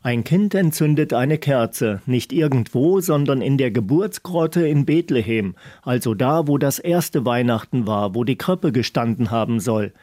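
A man's voice: mid-range voice, lively intonation, measured pace, few pauses, dark tone, no room sound, clean studio sound. A man presenting a radio programme, reading scripted links and reports.

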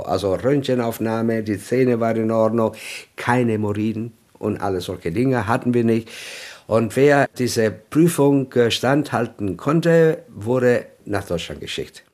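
A man's voice: mid-range voice, a little slow, darkish tone. A man in his seventies from Sicily, a native Italian speaker with an Italian accent, recalling his past in an interview at home with hesitant, searching phrasing. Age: 70s. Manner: hesitant, with fillers and searching for words. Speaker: interviewee, former guest worker. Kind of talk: reminiscing in an interview.

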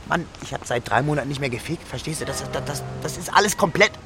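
An actor playing a burnt-out, foul-mouthed young punk rock singer in a radio play, agitated and stammering. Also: male voice, fairly high pitch, lively intonation, fast, music in the background. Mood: exasperated. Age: young adult. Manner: agitated, ranting, stammering, crude. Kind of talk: naturalistic radio play dialogue.

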